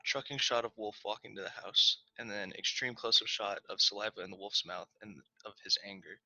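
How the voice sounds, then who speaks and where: A man's voice: on the low side, steady tone, brisk, pushed and bright, no echo. A man reading screenplay shot directions aloud at a table read, over muffled video-call audio.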